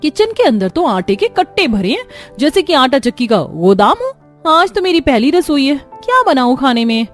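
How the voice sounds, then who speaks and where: A woman's voice: high-pitched, very animated, moderate pace, soft background music, voice pushed up. A woman voicing an exasperated young daughter-in-law in a cartoon, complaining dramatically. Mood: alarmed.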